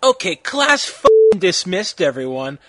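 Young female goth teacher, played by a man: breezy, casual, lifted pitch, lively storybook voice. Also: brisk, no room sound. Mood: dismissive.

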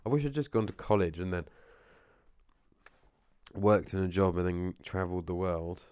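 Deep-voiced man with a cold. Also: expressive, moderate pace, darkish tone, clear, resonant tone, dry recording, clean studio sound. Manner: meandering, with many restarts and asides. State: has a cold, losing his voice. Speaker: vlogger. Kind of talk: casual rambling chat to camera.

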